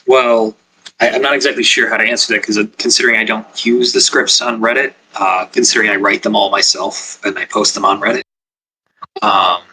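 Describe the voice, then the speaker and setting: A man's voice: fairly low pitch, sharp tone, husky, rough recording. A man answering as a guest in a casual online panel, hesitant with many fillers. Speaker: panel guest, script writer.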